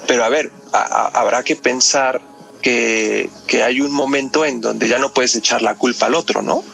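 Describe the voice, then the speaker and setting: A man's voice: medium pitch, moderate pace, gravelly, fairly bright tone. A man with a Mexican accent speaking as a guest psychoanalyst in conversation, explaining step by step and often tagging on questions. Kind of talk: explanatory conversation about a crime case. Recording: music behind the voice.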